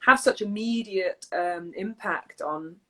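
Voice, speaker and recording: A woman's voice: medium pitch, slow pace, sharp tone. A woman hosting a podcast interview, chatting in a friendly, agreeable way and laughing often. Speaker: podcast host, vocal coach. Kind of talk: casual interview chat.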